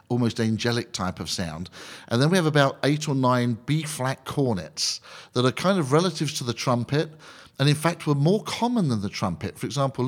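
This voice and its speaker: A male conductor talking as a guest on a radio interview, with a voice of middling pitch. He explains with eager energy, cracks jokes and drifts into asides. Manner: enthusiastic, jokey, digressive explainer.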